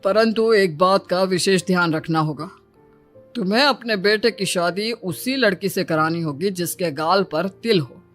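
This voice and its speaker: A woman's voice: lowish voice, a little slow, faint music behind, natural voice. Solemn priest played by a woman, distant timbre.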